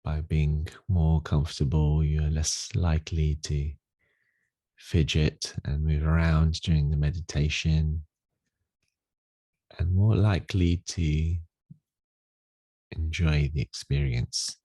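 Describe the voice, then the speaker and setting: A man leading a guided meditation in a gentle, unhurried, soothing manner with long pauses. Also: very deep voice, level tone, no room sound. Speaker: meditation teacher.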